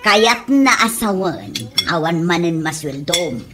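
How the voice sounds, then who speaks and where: An actress playing a hard-up, complaining older sister and wife in a comedy radio drama, with an Ilocano accent and a plaintive delivery. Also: female voice, lowish voice, big pitch swings, unhurried. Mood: scolding. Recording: noisy radio drama recording.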